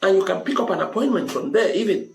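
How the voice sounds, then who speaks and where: A man's voice: sits high, sing-song, quick pace, vocal fry. Man, Cameroonian accent, motivational host, emphatic and repetitive, avuncular, echoey room, music underneath. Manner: emphatic, repeats key phrases. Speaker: storytelling show host giving advice. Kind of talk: motivational advice talk to camera.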